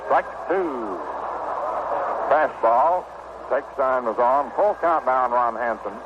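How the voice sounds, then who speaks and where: A man calling baseball play-by-play on 1960s radio in terse, clipped phrases with pauses between pitches. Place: ballpark broadcast booth. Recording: noisy muffled vintage AM radio broadcast.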